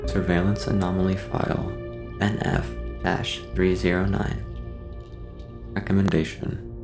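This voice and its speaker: Very low, gravelly male narrator. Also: level tone, measured pace. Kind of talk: scripted horror narration, case-file log reading. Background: music.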